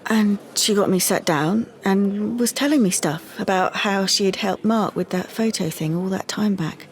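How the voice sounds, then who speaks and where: A woman's voice: medium pitch, moderate pace, natural voice. An actress playing a wary, easily indignant young woman in an audio drama, with clipped, stammering delivery. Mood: wary, guarded. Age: young adult. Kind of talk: audio drama monologue and dialogue.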